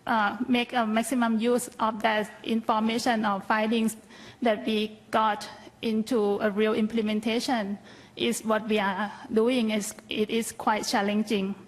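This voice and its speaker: A woman with a Thai accent speaks in a fairly high voice with very little melodic movement. She presents prepared material as a researcher at a conference, explaining it plainly and modestly. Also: level tone, a little slow, poor audio. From Thailand. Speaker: health policy researcher, conference panelist.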